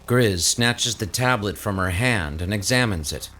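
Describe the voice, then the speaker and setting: A man's voice: lowish voice, expressive, a little slow, pushed and bright, slight background noise. A man reading scripted narration for an audio drama. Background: faint music.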